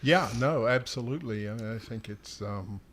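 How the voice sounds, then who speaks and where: A man with an average-pitched voice co-hosts a casual livestream as an author. He talks in an easygoing, conversational way, feeling for his words as he goes. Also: moderate pace, a bit bright.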